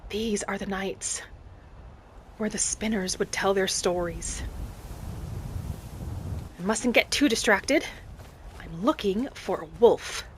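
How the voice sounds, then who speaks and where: A woman narrating a game roleplay in character as a gentle, hopeful young elf adventurer, softly musing aloud. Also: medium pitch, slow, pauses often, slight background noise. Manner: soft, musing, speaking thoughts aloud. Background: music.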